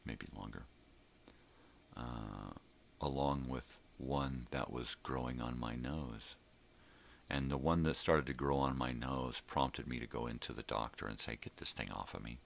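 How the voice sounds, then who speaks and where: An older man with a very deep, gravelly voice chats casually in a rambling, drawn-out way, as a vlog host. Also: steady tone, slight background noise. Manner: rambling, drawn-out, mild swearing.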